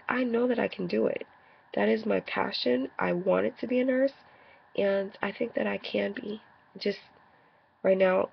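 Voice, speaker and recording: A woman's voice: on the low side, creaky, slightly rough, muffled recording. A woman in her thirties with a cold and a stuffy nose, talking frankly and a little defensively in a rambling casual video rant. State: congested from a cold. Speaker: vlogger.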